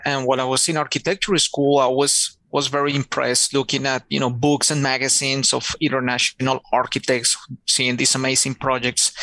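A man's voice: mid-range voice, sharp tone, no room sound. A middle-aged man from Colombia with a Colombian Spanish accent, a podcast guest telling his story in an earnest way and often repeating words. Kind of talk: relaxed storytelling interview.

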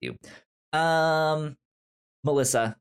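A man co-hosting a casual pop-culture podcast, talking in a halting way with repeated words and trailing sentences. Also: a little high, clean tone. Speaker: podcast co-host.

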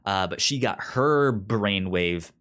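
Slow-talking man, halting and conversational. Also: on the low side, lively intonation, fairly bright tone. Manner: halting, stammering, repeats words. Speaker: podcast co-host. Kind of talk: casual comic book discussion.

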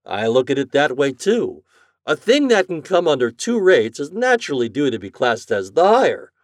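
Man acting a brisk, officious company boss, animated. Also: medium pitch, no room sound, clean studio sound, voice pushed up, natural voice. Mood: earnest, puzzled. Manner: officious, emphatic, dictating. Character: brisk, self-important male railway tariff department boss.

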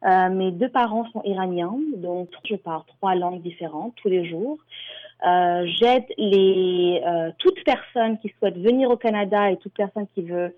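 A woman's voice: medium pitch, unhurried. A woman from France, an immigration lawyer, explaining things as a radio guest with many hesitations. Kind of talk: interview answers. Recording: tinny phone line.

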